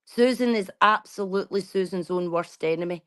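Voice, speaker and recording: A woman in her forties talks straight to her audience in a casual, outspoken way. Her voice sits a little low for a woman, and she swears without holding back. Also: a little fast. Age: 40s. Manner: blunt, outspoken, swears freely. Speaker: vlogger. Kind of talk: casual chat.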